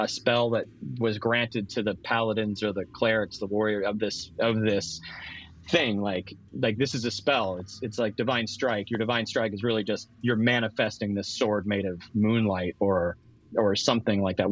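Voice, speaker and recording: A man's voice: lowish voice, steady tone, normal speed. A man playing a tabletop game, casually improvising a story as he talks it through. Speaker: guest player in tabletop game. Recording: slightly dull lo-fi audio.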